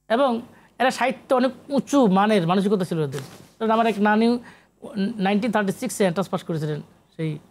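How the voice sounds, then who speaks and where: A man's voice: high-pitched, a little mellow. A Bangladeshi man speaking as an interview guest, a professor explaining emphatically in a lecturing way and mixing in English academic terms. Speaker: guest, professor and literary researcher. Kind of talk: animated TV interview discussion.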